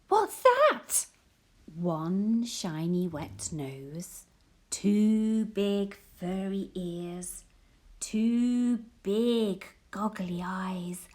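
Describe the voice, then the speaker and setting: A woman teacher reading a children's picture book aloud, slow and playful, whispering the sound words and rising to excited gasps. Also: medium pitch. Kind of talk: expressive read-aloud storytelling for children.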